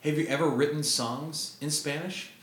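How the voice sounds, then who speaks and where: A man hosts a relaxed music podcast, asking questions and teasing his guest. His voice rings in a roomy space over faint background hiss. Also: on the high side, normal speed. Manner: friendly, teasing. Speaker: podcast host. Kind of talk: casual interview. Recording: roomy room, faint noise.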